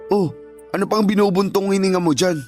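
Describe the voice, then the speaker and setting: A man's voice: high voice, steady tone, fairly quick, a little mellow, faint music behind, lightly acted. A man playing an irritable, tired, dismissive husband in a radio drama, defensive, curt and exasperated.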